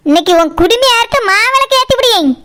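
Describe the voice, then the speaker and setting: A woman's voice: very high voice, expressive, fast, background noise. A woman voicing a hot-tempered, bossy house owner in a comedy cartoon, scolding and threatening in Kanyakumari Tamil. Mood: angry, scolding.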